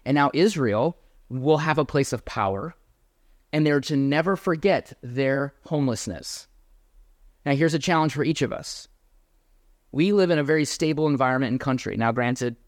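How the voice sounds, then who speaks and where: Male pastor, emphatic sermon delivery, middle pitch, dry close sound. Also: no echo. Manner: earnest, emphatic, addresses the congregation directly.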